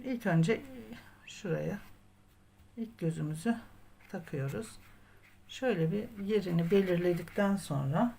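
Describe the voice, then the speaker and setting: A woman's voice: lowish voice, measured pace, gravelly, long pauses, mellow, a little hiss or hum. A woman hosting a crochet tutorial, explaining step by step in a patient, methodical way. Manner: patient, methodical, often counting aloud. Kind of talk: step-by-step craft instruction.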